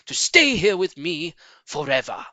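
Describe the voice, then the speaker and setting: Guy doing a storybook mr fox type, confident speechmaker, bright and animated, rallying his pals. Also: fairly high pitch, measured pace, no echo, heavily acted. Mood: grand, rousing. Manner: grand speech-making to friends. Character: jovial male fox leader, rousing and confident.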